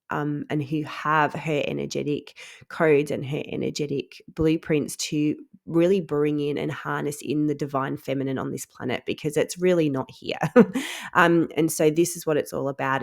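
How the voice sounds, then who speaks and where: Low-voiced nasal woman with a head cold. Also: creaky. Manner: chatty and earnest, many ums and laughs. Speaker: podcast host. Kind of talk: casual spiritual podcast talk.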